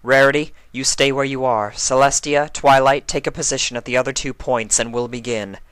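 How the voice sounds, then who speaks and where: A man's voice: mid-range voice, fairly even intonation, brisk, fairly bright tone, clean tone, dry recording, voice pushed up, close to an everyday voice. A man voicing a commanding yet kind alicorn princess in a story reading, formal and directive.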